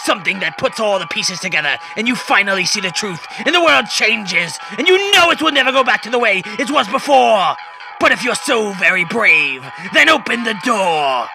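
Man putting on a slow, taunting villain over loud music. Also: high voice, big pitch swings, vocal fry, full character voice, poor audio. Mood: mocking, ominous. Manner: slow, drawn-out, mocking. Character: unseen taunting sinister mystery villain voice. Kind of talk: dramatic comic-book reading.

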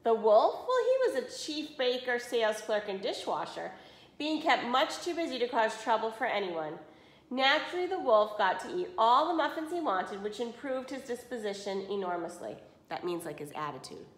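A woman's voice: on the high side, big pitch swings, moderate pace. A woman in her forties teaching children, reading aloud and explaining casually. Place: small indoor room at home. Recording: roomy home video recording.

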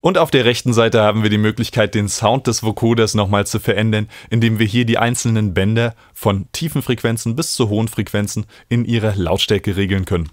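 A man, calmly explaining music software step by step as a tutorial host. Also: lowish voice, a little fast, hardly any pauses. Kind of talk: screen-recorded software tutorial.

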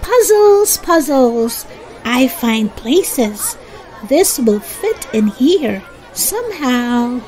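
High-pitched, very slow woman reading to children. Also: sing-song, a little mellow, some chatter behind, a little hiss or hum, low-quality recording. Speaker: children's story reader. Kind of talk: expressive picture-book read-aloud for young children.

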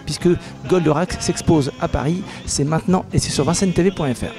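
A man's voice: a little high, brisk, loud music behind the voice. A man hosting, giving an upbeat presenter introduction into a handheld mic. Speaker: reporter, show host. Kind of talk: broadcast intro. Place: gallery indoors.

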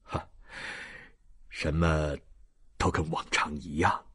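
Audiobook role: humble, broken old father, extremely low and very husky, halting and resigned. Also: little pitch movement, fairly bright tone, full character voice. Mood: evasive, subdued. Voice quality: husky, breathy. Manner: halting, muttering to himself. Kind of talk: audiobook character reading.

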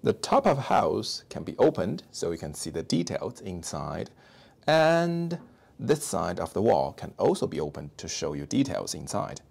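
Low gravelly man with a Chinese accent. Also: normal speed. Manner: explanatory, lively voiceover with sudden high emphasis on openers. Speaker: toy reviewer. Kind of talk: product review narration. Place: home hobby room, indoors. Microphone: clip-on lapel mic. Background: soft background music.

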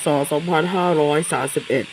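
A man playing a solemn government announcer, formally reading a measured emergency warning for an analog horror broadcast. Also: close to an everyday voice. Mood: solemn, official. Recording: clearly noisy hissing background.

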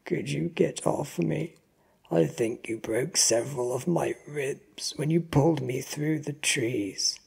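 A frightened, hurt henchman as a narrator acts him out, stammering in halting bursts. The voice is very husky and breathy, pushed higher and louder than the narration in a dramatic audio story. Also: very animated, heavily acted. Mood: pleading. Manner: stuttering, halting, strained. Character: frightened, injured henchman named Slim, stammering and gasping.

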